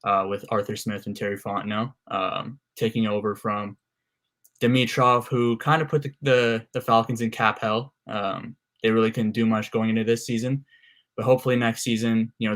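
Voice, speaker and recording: Male co-host from Canada, lowish, flat delivery, casual analyst. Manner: steady and measured, frequent "uh"s. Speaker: guest co-host.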